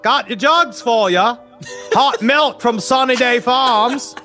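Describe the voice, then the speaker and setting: A man's voice: very high-pitched, takes it slowly, over music, voice pushed up. A man voicing a folksy, cheerful male milkman with hokey salesman patter.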